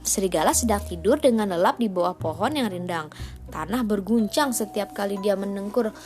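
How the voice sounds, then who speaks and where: A woman's voice: mid-range voice, animated, moderate pace, over music. A woman storyteller narrating a children's fairy tale.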